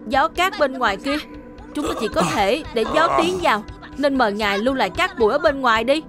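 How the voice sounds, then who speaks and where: A woman's voice: high-pitched, expressive, a little fast. A woman voicing an earnest, curious and polite little boy in a children's cartoon, with a childlike manner. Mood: firm, earnest. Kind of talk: dubbed children's cartoon acting. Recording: music behind the voice.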